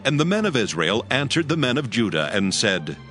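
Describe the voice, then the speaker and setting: A man's voice: medium pitch, big pitch swings, brisk, fairly bright tone, soft background music. A man narrating scripture as scripted, solemn storytelling.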